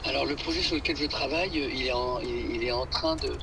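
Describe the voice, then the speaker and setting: A man heard over a speakerphone from some distance, with clear background noise around him. He starts out halting, then warms into an eager description of his work, his voice sitting in a middle register. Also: medium pitch. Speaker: theatre director on the phone. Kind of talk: casual phone call. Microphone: phone on speaker.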